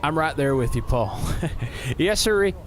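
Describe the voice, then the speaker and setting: Folksy, lively showman boss. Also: mid-range voice, over music. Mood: gleeful, smug. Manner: folksy, jovial, drawn-out showman phrasing. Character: folksy, self-satisfied circus boss, jovial and patronizing. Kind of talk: audio drama acting.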